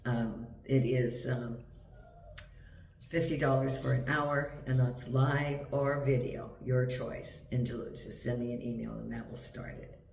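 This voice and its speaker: A man with a croaky, gravelly voice from a cold talks through a tarot spread in an easygoing, rambling way. He sits back from the mic in an echoey room, with a faint hiss underneath. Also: mid-range voice, steady tone, unhurried, a little mellow, far from the mic. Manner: chatty, meandering, with many ums. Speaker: tarot reader. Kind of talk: casual tarot reading to camera. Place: home in Portugal.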